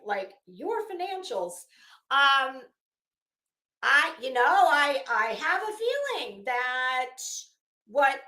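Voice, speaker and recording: A woman with a fairly high, forceful voice talks in a roomy, echoing space. She is sarcastic and blunt, leaning on words for dramatic emphasis. Her commentary is unhurried and off the cuff, salted with swearing. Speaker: commentary host, live streamer. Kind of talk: reading a document aloud with mocking off-the-cuff commentary. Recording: echoey, roomy sound.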